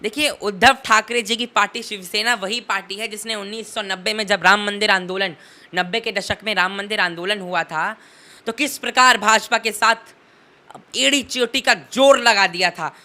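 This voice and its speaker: Bright-voiced teenage boy, emphatic political speaker. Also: high voice, fairly even intonation, moderate pace. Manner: emphatic, rhetorical, oratorical repetition. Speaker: interviewee, young political commentator. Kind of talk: impassioned political commentary in an interview.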